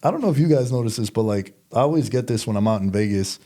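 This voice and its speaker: Male sports talk host, New York accent, soft-edged mellow tone, slangy and emphatic, casual chat. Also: lowish voice, lively intonation, fast talker.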